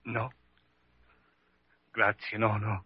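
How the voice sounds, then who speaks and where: Grieving Italian husband, husky old radio. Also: male voice, on the low side, completely flat delivery, no echo, dull audio. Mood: grieving, heartbroken. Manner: broken English, halting, emotional. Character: grieving Italian immigrant husband, humble and devoted. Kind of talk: old-time radio drama acting.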